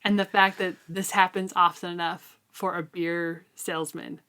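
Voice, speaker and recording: A woman co-hosting a book discussion podcast, chatting casually and colloquially with animated emphasis. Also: on the low side, normal speed, smooth. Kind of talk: casual chat about a novel.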